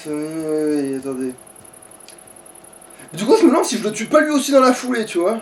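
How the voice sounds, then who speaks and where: A man's voice: high-pitched, very animated, moderate pace, a little hiss or hum. A man playing a game and chatting informally, swearing and trailing off mid-thought. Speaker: let's play player. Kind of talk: casual gaming chat.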